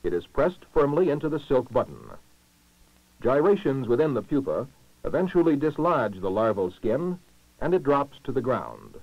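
A male narrator from a 1960s educational film, speaking in a formal, measured way with expressive rises and falls. His mid-pitched voice comes through a dull, muffled old film soundtrack with very little room sound. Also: dry recording. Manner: formal, measured, clearly enunciated delivery. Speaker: documentary narrator.

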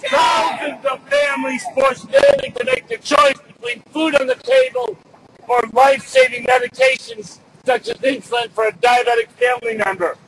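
A middle-aged man gives an emphatic, loudly projected political speech at an outdoor rally, reading from notes. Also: extremely high pitch, lively intonation, normal speed, a faint crowd, low-quality recording. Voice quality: loud, projected voice. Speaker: rally speaker. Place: outdoors on city building steps in winter. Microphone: camera a few feet away. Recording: outdoor street noise, no microphone.

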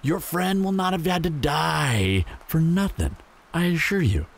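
A man's voice: a little high, lively intonation, measured pace, vocal fry, slight background noise. A man playing a menacing, smug apocalypse bandit in an audio roleplay, taunting and mock-friendly with a drawl.

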